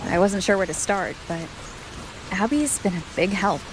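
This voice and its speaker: An actress playing a composed, thoughtful young woman adventurer in a video game, calm and earnest. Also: medium pitch, moderate pace, noisy background, natural voice. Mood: explanatory.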